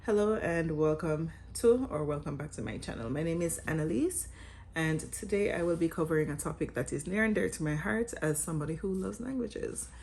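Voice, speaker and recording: A young woman speaks in a low register with a clear Jamaican accent. She addresses her viewers like a teacher, instructive and articulate, loosening a prepared script with casual, playful asides. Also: animated, fairly quick, darkish tone, a little hiss or hum. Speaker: vlogger and English teacher. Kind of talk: scripted vlog talking to camera with casual asides.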